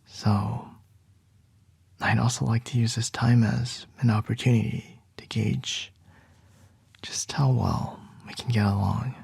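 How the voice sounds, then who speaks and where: A controlling young CEO boss, as a man puts him on, talking close to the mic in a husky, gravelly murmur that often falls to a whisper. He takes his time over every word, and the sound is dry and intimate, with no room behind it. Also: fairly even intonation, dry recording, full character voice. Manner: soft low whispering, measured and domineering. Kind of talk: ASMR roleplay.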